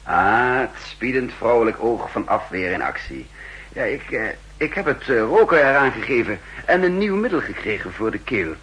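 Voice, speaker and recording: An actor playing a breezy, teasing middle-aged intelligence boss in a 1960s radio drama, bantering with hesitations and tag questions. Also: male voice, medium pitch, very animated, normal speed, a bit bright, rough recording. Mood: improvising, breezy.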